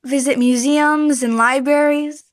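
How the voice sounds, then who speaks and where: Brief: a practical young girl, high and earnest. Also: steady tone, measured pace, dry recording, clean studio sound. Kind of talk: radio drama acting.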